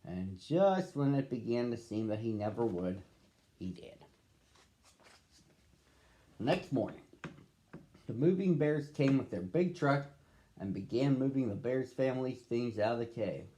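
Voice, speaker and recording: A man reading a picture book aloud to children as a storyteller, with expressive phrasing and drawn-out words. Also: mid-range voice, slight background noise. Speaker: storybook reader.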